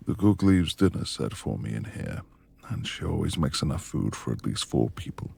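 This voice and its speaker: A man playing a brooding, reclusive beast of a manor lord in a romantic ASMR roleplay, gruff yet tender, with gravelly, breathy speech and formal old-fashioned phrasing. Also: rumbling low, sing-song, moderate pace, darkish tone, slight background noise, heavily acted. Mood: tender, courteous.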